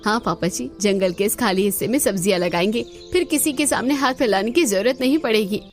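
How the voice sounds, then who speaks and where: एक औरत जो एक दयालु, होशियार जवान बहू को आवाज़ देती है।. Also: female voice, mid-range voice, a little fast, faint music behind, close to an everyday voice. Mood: gentle. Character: kind, resourceful young daughter-in-law.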